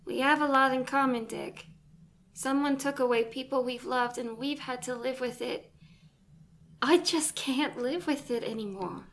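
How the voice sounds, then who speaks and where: A woman's voice: high voice, measured pace, fairly bright tone. A woman acting a defiant, bitter female villain in a fan audio drama, with a taunting, dramatic manner. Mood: bitter, resolute.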